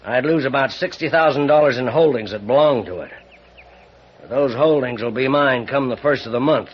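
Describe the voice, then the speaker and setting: An actor playing a bitter, greedy older rancher with an American accent and a folksy, needling drawl in a radio western. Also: male voice, medium pitch, moderate pace, breathy edge, natural voice. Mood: suspicious, greedy. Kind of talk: old-time radio western acting. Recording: muffled, noisy old radio recording.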